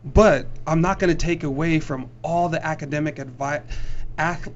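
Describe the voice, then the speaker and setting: A man who is a former football player, speaking earnestly and haltingly as a studio guest. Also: on the high side, steady tone, lo-fi sound, a little hiss or hum. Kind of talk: studio discussion.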